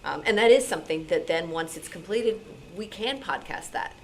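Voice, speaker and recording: A woman's voice: on the low side, expressive, moderate pace. A woman giving informal, encouraging answers as a radio show panelist at a meeting. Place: meeting room. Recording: noisy live meeting room.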